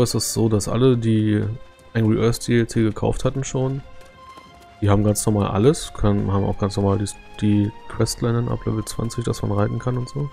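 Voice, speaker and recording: A man's voice: on the low side, gravelly, dark tone. A man giving casual, laid-back live gameplay commentary as a streamer, in colloquial language. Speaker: game streamer.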